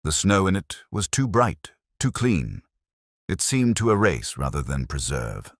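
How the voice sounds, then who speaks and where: A man playing a melancholy, introspective police detective, narrating a mystery in a measured, reflective manner. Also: sits low, big pitch swings, dry recording, clean studio sound, exaggerated voice. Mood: brooding, reflective. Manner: measured, reflective, literary phrasing. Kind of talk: scripted first-person narration of a detective mystery.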